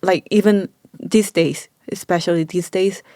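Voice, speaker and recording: A woman's voice: fairly low pitch, steady tone, measured pace, mellow, dry recording, studio quality. A woman who owns a small clinic, chatting in an interview in simple, broken English, filling with "like" and laughing often. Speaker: clinic owner. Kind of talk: casual interview chat.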